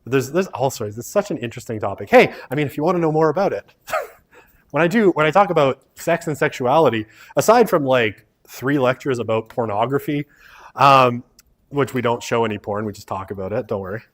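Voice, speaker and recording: A middle-aged man lectures a university sociology class in an auditorium. He talks in a loose, rambling way, pokes fun at himself, and keeps checking in with a quick "right?". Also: mid-range voice, animated, a bit bright. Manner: rambling and self-deprecating, frequent "right?" tags.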